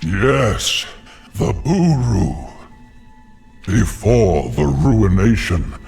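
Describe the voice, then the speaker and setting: An ancient tree spirit from a fantasy video game, weary yet benevolent. The voice is low and crackles like old wood, moving extremely slowly and solemnly, with light music underneath. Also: male voice, a little mellow, heavily acted. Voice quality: deep, creaky. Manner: slow, grave, deliberate. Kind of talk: video game character acting.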